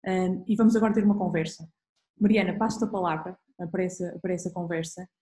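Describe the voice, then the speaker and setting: A woman's voice: fairly low pitch, fairly even intonation, rapid-fire, dark tone. A young woman with a Portuguese accent informally introducing a live online event as host over a video call. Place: indoor room.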